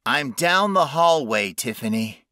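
A narrator plays a trapped young man in a horror audiobook. He calls out urgently in a raised, higher voice. The pitch moves a lot, and the sound is dry. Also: lively intonation, a little slow, sharp tone, no echo. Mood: urgent, guiding. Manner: urgent, calling from a distance.

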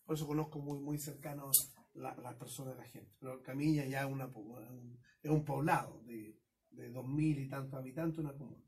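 A man's voice: medium pitch, steady tone, a little fast, a little mellow. A Chilean man with a Chilean accent hosting a casual radio conversation, telling stories and affirming his guests often. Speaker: radio host.

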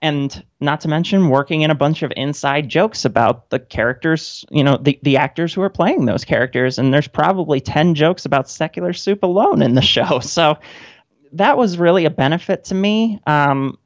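A woman's voice: sits low, normal speed, no room sound. A woman in her thirties chatting casually and wryly as a guest in a radio interview. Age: 30s. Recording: dull, band-limited audio.

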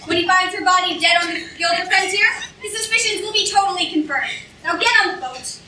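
Very high-pitched, pompous villain ringleader played by a woman. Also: fast, pushed and bright. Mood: scheming. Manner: pompous, declamatory. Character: scornful, domineering villain ringleader, shrill and ranting. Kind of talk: stage comedy acting. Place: lecture hall stage, indoors, recorded from audience. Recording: echoey hall from far off, noisy audience room.

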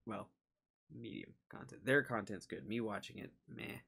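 A tired young man chatting casually to viewers as a reaction host, low-key and self-deprecating. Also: moderate pace, vocal fry, bright, forceful tone, slightly rough. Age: young adult. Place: small cluttered room indoors.

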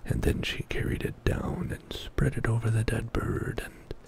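A middle-aged man reading a bedtime story as ASMR in a soft, breathy whisper, hushed and soothing, close to the microphone in a small indoor studio. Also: lowish voice, flat delivery. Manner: slow, hushed, soothing narration.

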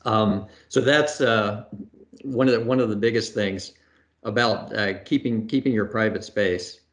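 A middle-aged man answering questions in a relaxed conversational way, pausing often with fillers and restarting phrases, over a video call from a room at home. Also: on the low side. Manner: thoughtful, many fillers and restarts. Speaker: astronaut guest. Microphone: video call webcam.

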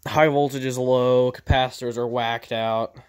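A man giving a casual, off-the-cuff narration as a hobbyist repairer, speaking informally and haltingly into a handheld camera in an indoor workshop. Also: mid-range voice, fairly even intonation, clean tone. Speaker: vintage TV repair hobbyist narrating his video.

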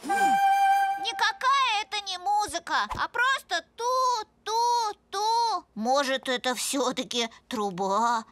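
A woman's voice: very high voice, very animated, takes it slowly, a bit bright, soft background music, exaggerated voice. A woman voicing an eager little toddler animal in a preschool cartoon, childlike and exclamatory, in short simple phrases. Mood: bored, grumbling.

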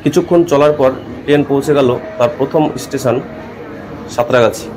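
Fast-talking man narrating a trip. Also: medium pitch, background noise. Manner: fast, informative tour-guide telling. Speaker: travel vlogger. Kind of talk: travel narration voice-over. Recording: music bed under voice-over. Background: guitar music.

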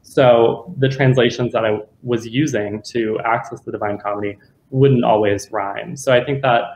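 A man's voice: medium pitch, fairly even intonation, moderate pace, a little mellow, roomy sound, a little hiss or hum, poor audio. A young man, a guest curator, chatting casually about his exhibition on a video call from home. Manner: casual, chatty with many fillers. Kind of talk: answering questions after a lecture. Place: home room.

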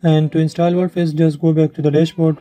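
Very dark-voiced man narrating a tutorial. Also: on the high side, level tone, a little fast. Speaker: tutorial presenter. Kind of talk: instructional screen-share narration. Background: background music.

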